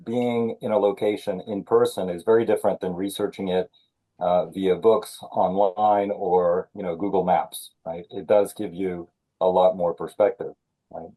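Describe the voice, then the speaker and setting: A man born in Senegal, a thriller author being interviewed, talking in a measured way with many fillers and restarts. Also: low voice, steady tone, warm tone, rough recording. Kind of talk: conversational interview.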